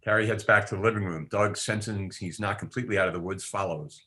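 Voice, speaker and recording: Man, low pitch, bright forceful tone, script narrator, stage directions, table read. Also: fast talker. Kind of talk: reading script directions aloud.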